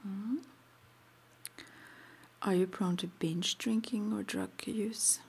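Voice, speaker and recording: A woman whispering gently and hesitantly in an ASMR roleplay, as an interviewer asking intake questions in a breathy voice. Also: mid-range voice, fairly even intonation, takes it slowly. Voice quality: breathy whisper with some creak. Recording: faint background noise.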